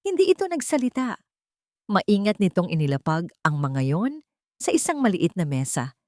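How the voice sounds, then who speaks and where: A woman's voice: mid-range voice, a little mellow, no echo, clean studio sound. A woman reading a scripted romance story as a dramatic narrator.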